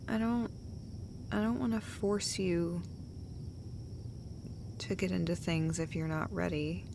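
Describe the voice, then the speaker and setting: A woman plays a gentle, caring girlfriend in an intimate audio roleplay. She speaks slowly and hesitantly, soft and breathy with gravelly fry, often dropping toward a whisper. There is clear background noise behind her. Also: on the low side. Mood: gentle, reassuring. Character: caring, gentle, casually sweary girlfriend comforting her partner.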